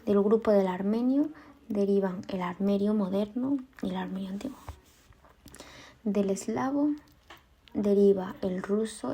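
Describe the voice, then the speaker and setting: A woman's voice: mid-range voice, dark tone, breathy edge. A woman dictating study notes aloud, often in a whisper, repeating items and spelling words out as she goes.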